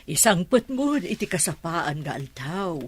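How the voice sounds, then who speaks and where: An actress with an Ilocano accent playing a caring, eager rural mother in a radio drama, with a husky voice. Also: on the low side, big pitch swings, measured pace, no room sound, full character voice. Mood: caring, pleased.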